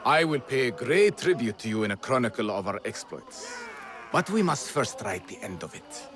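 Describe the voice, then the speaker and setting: A man's voice: mid-range voice, sing-song, moderate pace, a crowd in the background, natural voice. A man playing a proud, stubborn rebel in a video game, speaking in a grandiloquent, wry and theatrical way. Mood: grand, pleased. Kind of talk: video game cutscene dialogue.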